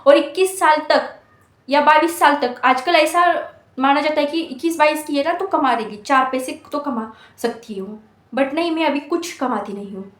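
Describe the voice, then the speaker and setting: A woman's voice: high voice, moderate pace, roomy sound. A woman of twenty-one giving an earnest motivational talk to exam students, scolding them with rhetorical questions. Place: hostel room.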